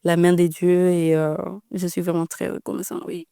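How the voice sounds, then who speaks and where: A woman's voice: lowish voice, flat delivery, moderate pace, clear, resonant tone, dry recording, studio quality. A woman in her twenties with a Dutch accent, speaking French as a guest in a casual interview, hesitant with many fillers. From Netherlands. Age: 20s. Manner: hesitant, many "euh" fillers, soft laughs. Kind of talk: casual interview about faith.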